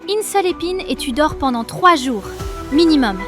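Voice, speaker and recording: A woman playing a bossy, emphatic young student, the heroine's stormy best friend, in an animated story. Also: high voice, moderate pace. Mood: urgent warning. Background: music.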